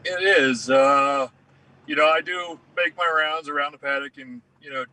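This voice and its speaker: A man's voice: fairly high pitch, moderate pace, bright, forceful tone, rough recording. A male racing crew member speaking modestly over a phone video call. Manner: modest, easygoing.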